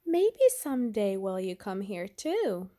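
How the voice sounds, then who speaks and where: A woman voicing an encouraging female school principal in a picture book, instructive and deliberate. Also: medium pitch, big pitch swings, mellow. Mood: inviting.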